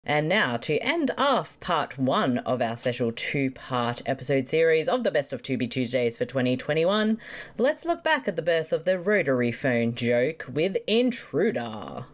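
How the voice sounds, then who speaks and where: A woman co-hosting a movie podcast, teasing and quick to laugh. Her pitch moves around freely in casual banter. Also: big pitch swings, faint music behind. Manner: teasing, laughs often, reads show outro. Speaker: podcast co-host. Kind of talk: casual movie riffing chat.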